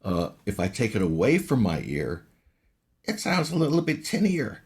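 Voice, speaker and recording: Give me a low, husky-voiced man in his sixties who chats as an interview guest and explains things at length. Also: wildly swooping pitch, moderate pace, darkish tone. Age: 60s. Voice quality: raspy. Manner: explains at length, with many restarts. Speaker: guest, ghost hunter and recording engineer. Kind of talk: casual interview chat.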